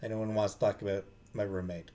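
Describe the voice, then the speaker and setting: A man's voice: on the low side, flat delivery, quick pace, dark tone, faint music behind. A man streaming a game, reading the story aloud expressively and dropping into casual asides.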